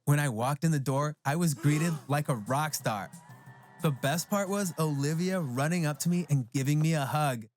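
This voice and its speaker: A man narrating a scripted animated story as a proud, resentful teenage boy. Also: fairly high pitch, normal speed, soft background music, lightly acted. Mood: excited.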